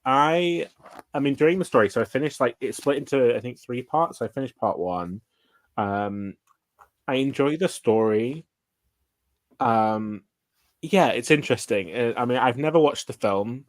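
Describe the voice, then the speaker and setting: A man's voice: mid-range voice, moderate pace, no echo. A man chatting casually about books as a livestream guest, meandering and hesitant, often saying "like". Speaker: guest co-streamer.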